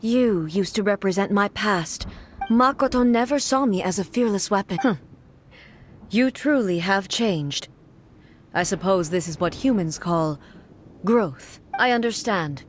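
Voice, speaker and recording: A woman voicing a stern, composed female god ruler who speaks slowly and solemnly. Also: medium pitch, a little hiss or hum, close to an everyday voice. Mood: calm. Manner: slow, solemn and measured. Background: game soundtrack music.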